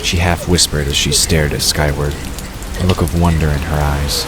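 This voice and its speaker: A man's voice: a bass voice, flat delivery, moderate pace, noisy background. A man narrating a scripted fantasy story as the narrator of an audio drama.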